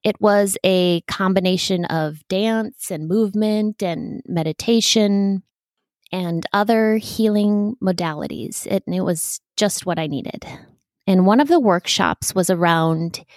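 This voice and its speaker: A woman's voice: medium pitch, unhurried, a little mellow, no echo, clean studio sound. A woman hosting a podcast, speaking personally to listeners and putting questions to a guest. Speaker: podcast host. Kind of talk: personal podcast introduction and interviewing.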